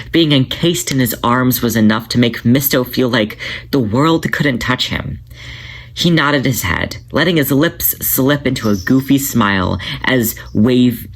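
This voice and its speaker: A man reads his own fan fiction aloud in a steady, measured way. His voice sits at an ordinary middle pitch, with a plain homemade audiobook feel. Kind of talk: podfic story narration.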